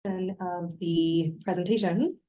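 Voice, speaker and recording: A hoarse woman physician recovering from laryngitis, presenting gently and carefully. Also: fairly low pitch, fairly even intonation, slow, muffled recording. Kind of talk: educational presentation.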